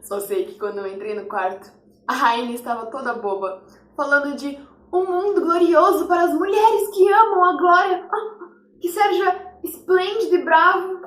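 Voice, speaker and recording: An actress with a Brazilian accent playing a sharp-tongued, gossiping housemaid of twenty-four who mocks her mistress. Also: extremely high pitch, moderate pace. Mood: gossipy. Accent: Brazilian Portuguese. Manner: gossipy, mocking. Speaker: acting student. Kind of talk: mock-documentary stage acting. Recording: echoey room.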